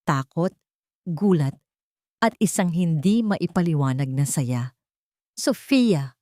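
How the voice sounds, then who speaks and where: A woman narrating a scripted romance drama in an emotive, drawn-out storytelling manner. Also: deep voice, animated, mellow, no echo, studio quality.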